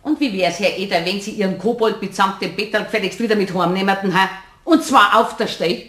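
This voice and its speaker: An actress playing a skeptical, sharp-tongued middle-aged wife in a radio play, scolding brusquely with a Bavarian accent. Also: female voice, mid-range voice, brisk, reverberant, natural voice. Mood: scolding, fed up. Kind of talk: German radio play acting.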